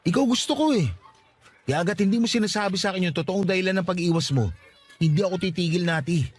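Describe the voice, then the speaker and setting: Radio drama suitor played by a man: young, working-class, lovesick, high and quick, stubbornly pleading. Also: slightly rough, faint music behind. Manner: pleading, insistent. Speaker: voice actor in a radio-style love story. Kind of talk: Filipino radio drama acting.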